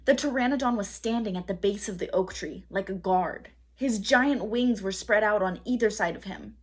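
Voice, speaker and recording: A woman teacher reading a children's storybook aloud in a dramatic, deliberate way, pausing for emphasis. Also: medium pitch, breathy edge.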